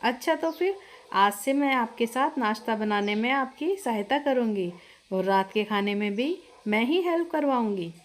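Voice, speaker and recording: A woman's voice: fairly high pitch, lively intonation, normal speed, natural voice. A woman voicing a polite young newlywed daughter-in-law who is eager to help, in a family story. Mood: curious, helpful. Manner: polite, obliging.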